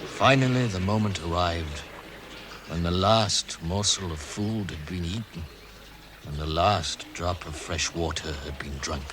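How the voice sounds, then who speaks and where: An actor as a grave narrator, telling a fantasy story in a measured, theatrical radio drama manner. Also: male voice, low voice. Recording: noisy vintage radio recording.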